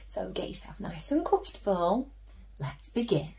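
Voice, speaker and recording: A woman who teaches and hosts story time, reading a picture book aloud to children in an expressive way, drawing out key words and dropping into hushed asides. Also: medium pitch, background noise. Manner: slow, animated storytelling with drawn-out emphasis and hushed asides.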